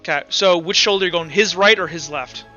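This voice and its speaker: High-voiced, bright man running a tabletop game. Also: quick pace. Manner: informal, vivid gory description, checks rules aloud. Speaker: game master. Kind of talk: running an online tabletop roleplay session, casual narration. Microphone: online voice call. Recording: dull online voice-chat audio with music behind. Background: music.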